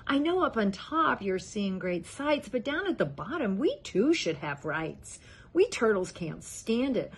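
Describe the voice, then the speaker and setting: A woman's voice: mid-range voice, big pitch swings, measured pace, breathy edge, heavily acted. Woman as a meek, pleading little turtle. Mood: pained, pleading. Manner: pleading, groaning, deferential. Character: plain little male turtle, meek, polite, suffering underling.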